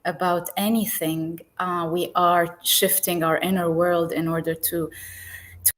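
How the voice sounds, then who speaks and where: A woman speaks slowly in a lowish voice over a video call. She is a calm, reflective guest whose words carry a grateful tone. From Lebanon. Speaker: podcast guest. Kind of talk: live podcast conversation.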